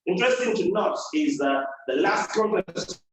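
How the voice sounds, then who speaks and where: A man's voice: high-pitched, expressive, normal speed, fairly bright tone, a little hiss or hum. A man hosts a live event as master of ceremonies, playfully addressing the audience like a showman. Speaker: master of ceremonies at a speech contest. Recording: very echoey room, distant microphone.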